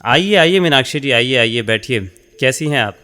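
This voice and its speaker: An actor playing a warm, talkative middle-aged senior editor in a radio drama, encouraging and conversational, mixing in English phrases. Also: male voice, mid-range voice, animated, moderate pace, slight background noise. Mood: welcoming.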